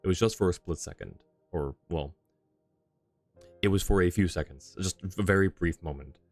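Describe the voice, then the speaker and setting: A man with a low-pitched voice gives casual livestream commentary on a game. He muses aloud and reasons things through with viewers, over faint music. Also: normal speed, a little mellow. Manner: musing, thinking aloud, analytical. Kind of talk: casual live-stream commentary, chatting with viewers.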